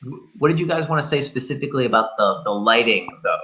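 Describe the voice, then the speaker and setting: A man's voice: medium pitch, animated, fast talker, pushed and bright, highs cut off. A man co-hosting a film review show, talking casually in a rambling, enthusiastic way full of superlatives.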